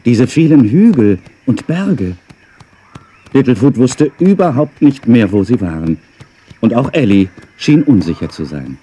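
A man's voice: mid-range voice, very animated, unhurried, warm tone, slightly rough, lo-fi sound. A man narrating a scripted children's audio story.

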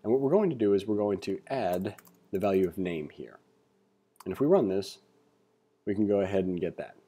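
A man giving a read-along tutorial as an instructor, reading aloud and adding informal asides as he thinks out loud. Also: sits low, animated, a little fast, slightly rough. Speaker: programming instructor. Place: indoors, screen-recording voice-over.

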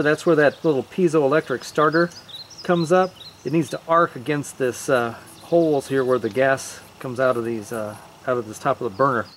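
A man in his forties hosting a casual do-it-yourself video, chatting in a folksy way while he works outdoors in a yard. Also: a little high. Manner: chatty, folksy, talks while working with hands. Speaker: DIY video host. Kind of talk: casual how-to demonstration. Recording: outdoor hiss and birds. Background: birds and outdoor ambience.

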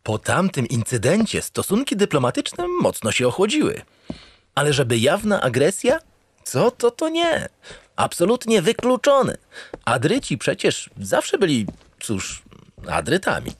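An actor playing a pompous, naive spaceship captain, narrating a comic sci-fi radio play in a theatrical, grandiloquent, digressive way. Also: male voice, on the high side, huge pitch swings, measured pace, husky, no room sound, full character voice. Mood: earnest, wondering. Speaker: lead actor in an audio drama.

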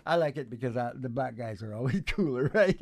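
A man's voice: medium pitch, slightly rough, no room sound. An older man making teasing, folksy stage banter as a musician hosting a show, speaking into a stage microphone. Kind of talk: casual joking stage banter. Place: small curtained indoor stage. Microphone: stage microphone on a stand. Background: acoustic guitar.

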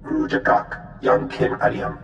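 Very gravelly alien. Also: male voice, lowish voice, little pitch movement, a little slow, soft background music. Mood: guttural. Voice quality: very gravelly, creaky. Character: gravelly alien speaking an invented tongue. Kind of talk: video game creature voice. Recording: echoey hall, distant, dull sound.

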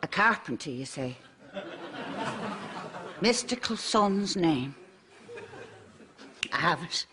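A woman playing a dim but confident know-it-all in a TV sitcom, with drawn-out, self-assured delivery. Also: on the low side, lively intonation, a crowd in the background. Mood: eager, confident. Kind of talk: farcical TV sitcom acting. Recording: loud studio audience noise.

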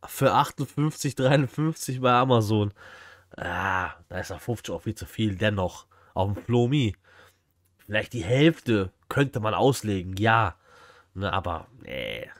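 A man's voice: on the low side, unhurried, no room sound, clean studio sound. A man doing casual live-stream reaction commentary, chatting with viewers in short colloquial exclamations. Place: indoor streaming room. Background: outdoor flea market video noise.

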